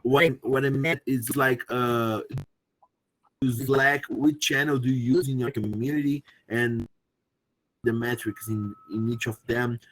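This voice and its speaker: A man from Brazil with a Brazilian Portuguese accent, asking a question on a video call in halting English, hesitant and apologetic. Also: medium pitch, steady tone, a bit bright, low-quality recording. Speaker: audience member asking a question.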